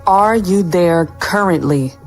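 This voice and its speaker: A woman's voice: on the low side, takes it slowly, faint music behind. A woman playing an agitated, demanding crime boss in a noir audio drama. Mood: alarmed, demanding.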